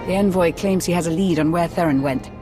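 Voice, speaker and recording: An actress playing a loyal, businesslike military adviser in a video game. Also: female voice, fairly low pitch, fast, lightly acted. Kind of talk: cinematic video game dialogue. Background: music.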